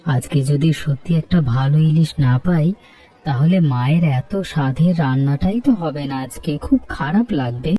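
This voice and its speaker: A man's voice: a little high, expressive, normal speed, warm tone, a faint crowd. A man playing an easygoing, food-loving village family man in a Bengali cartoon story. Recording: slightly distant, music behind.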